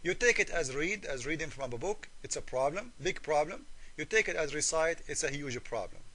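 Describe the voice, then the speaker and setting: A man's voice: on the high side, lively intonation, a little fast, bright, forceful tone, slightly rough. A man whose first language is Arabic, giving combative voice-over commentary in broken English, mocking and repeating his points. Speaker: video commentator. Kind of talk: argumentative voice-over commentary over screen captures.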